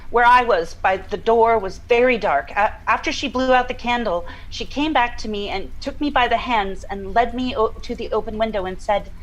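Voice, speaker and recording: An actress playing a troubled woman who recounts an eerie dream, halting and searching for her words in a naturalistic audio drama style. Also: on the high side, natural voice, low-quality recording. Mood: hesitant, absorbed.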